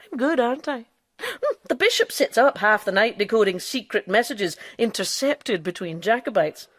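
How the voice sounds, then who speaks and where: A woman's voice: on the high side, lively intonation, normal speed, no room sound, studio quality. An actress in a radio drama playing an impudent, boastful female quack doctor who lives as a man. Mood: triumphant, probing. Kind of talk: radio drama acting with narration.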